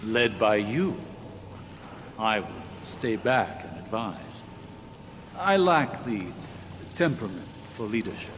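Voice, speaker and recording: An actor playing a shrewd, composed mentor in a video game, speaking in a measured, persuasive way. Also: male voice, fairly low pitch, lively intonation, background noise. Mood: smooth reassurance. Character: shrewd, composed male mentor, quietly calculating. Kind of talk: dramatic video game acting. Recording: loud music under the voice. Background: loud game score.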